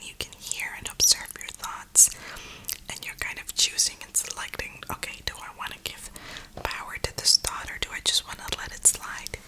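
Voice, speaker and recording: A woman host giving a slangy, reflective ASMR ramble in a breathy whisper. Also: pushed and bright, poor audio. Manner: slangy, reflective, unhurried pauses. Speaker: ASMR gaming video host.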